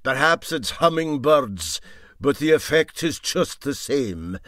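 An old Scottish boat skipper from a storybook, acted out by a man, spinning indignant tall tales. The delivery is droll and emphatic, with animated swings in a slightly raised pitch. The sound is dry and close. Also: slow pace, no echo, heavily acted. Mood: acid.

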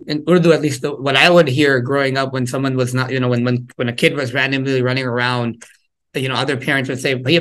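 A man's voice: medium pitch, a bit bright, rough recording. Male workshop presenter on a video call, conversational, lots of "you know" and restarts, engages the room. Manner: conversational, engaging the audience with questions; frequent fillers and repeated words. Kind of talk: interactive parenting workshop talk.

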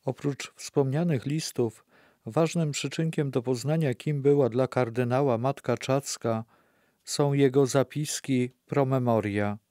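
Mellow male narrator, dry sound. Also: mid-range voice, a little slow, dry recording. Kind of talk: scripted documentary narration for an audio play.

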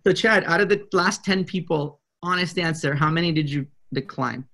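A man in his thirties speaking as a client on a video call from an office, in a deliberate but blunt, assertive way. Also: fairly high pitch, gravelly, bright, forceful tone. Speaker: prospective coaching client, public speaker. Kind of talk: conversational sales video call. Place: small indoor office. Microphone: video call, computer microphone.

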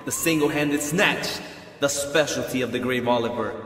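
An actor playing a grandiose, quick-tempered circus showman, declaiming like a stage announcer in an audio drama. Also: mid-range voice, close to an everyday voice. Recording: echoey hall, distant, loud crowd noise.

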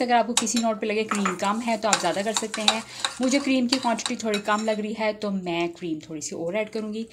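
A woman's voice: medium pitch, a bit bright. A woman chattily walking viewers through a recipe as a home cook, mixing in English phrases. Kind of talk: casual recipe demonstration. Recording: noticeable background noise, kitchen clatter.

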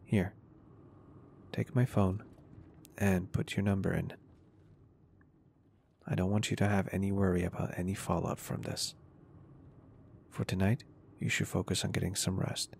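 Man acting a deep, gravelly, caring business owner. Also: flat delivery, measured pace, pauses often, a little hiss or hum. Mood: reassuring, protective. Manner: soft-spoken, reassuring, polite and earnest. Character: caring, flirty, confident male business owner, gently protective. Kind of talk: intimate ASMR roleplay acting.

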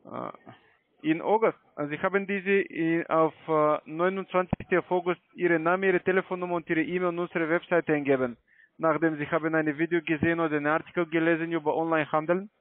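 A man with a foreign, non-native accent making a polite, halting sales call in broken grammar. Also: a little high, fairly even intonation, no echo. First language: not German. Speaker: call center scam caller. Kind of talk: semi-scripted sales phone call. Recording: tinny narrowband phone line.

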